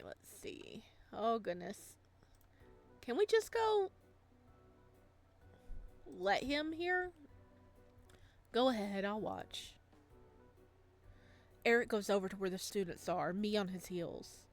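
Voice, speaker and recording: Expressive woman reading a game aloud. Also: mid-range voice. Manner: expressive, gushing, gasping reactions. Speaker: gamer reading a visual novel aloud. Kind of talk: let's play reading with excited reactions.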